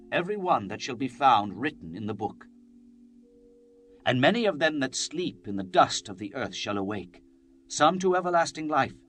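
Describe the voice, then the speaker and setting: A man reads scripture as a dramatic narrator, solemn and declamatory. His mid-pitched voice rises and falls widely, with soft plucked-string music playing behind him. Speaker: Bible narrator.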